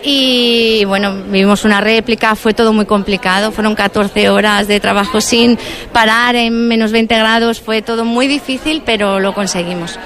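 Woman emergency nurse from madrid, a bit high voice, earnest and modest. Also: steady tone, moderate pace, a bit bright. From Madrid, Spain. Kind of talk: interview guest.

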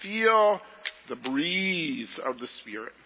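Guy pastor chatting gently w the kids, slightly high voice, a bit husky, echoey sanctuary. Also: very animated, slow pace. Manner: gentle, playful, talking to children. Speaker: pastor leading a children's message. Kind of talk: children's message in a church service. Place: large church sanctuary. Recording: echoey hall, dull lo-fi sound.

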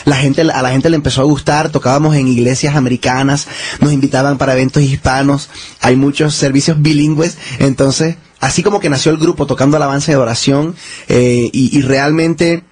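A man's voice: medium pitch, steady tone, brisk, darkish tone, slight background noise. A man from the United States, a band leader giving eager, rambling answers in a radio interview, often restarting his sentences.

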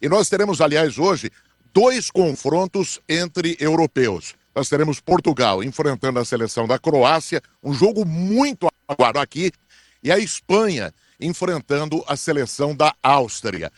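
A man talking as a radio sports commentator on a morning show, explaining matches in a measured way. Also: fairly high pitch, animated, dry recording, studio quality.

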